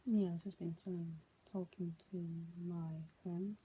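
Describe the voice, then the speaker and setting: A woman's voice: fairly low pitch, steady tone, a little slow, mellow, a little hiss or hum, poor audio. A young adult woman, speaking as a vlogger in a casual, homemade style. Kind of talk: casual vlog, reading news aloud and chatting.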